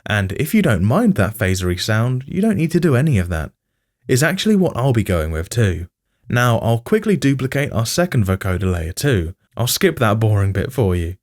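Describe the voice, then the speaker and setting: A man's voice: lowish voice, very animated, moderate pace. A man giving scripted tutorial narration, explaining step by step in informal language and addressing the viewer directly. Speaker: music production tutorial host.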